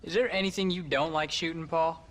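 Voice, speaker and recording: A man's voice: a little high, steady tone, fairly quick, fairly bright tone, a little hiss or hum, lightly acted. An actor playing a polite, bookish teenage farm boy, hesitant in manner, in a video game. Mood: dry, thoughtful. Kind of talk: video game cutscene acting.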